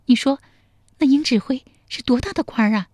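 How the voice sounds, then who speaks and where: A woman voicing a feisty young village woman, quick and scolding in a storybook reading. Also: high voice, a little mellow, slightly rough, no room sound, clean studio sound, voice pushed up. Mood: bossy.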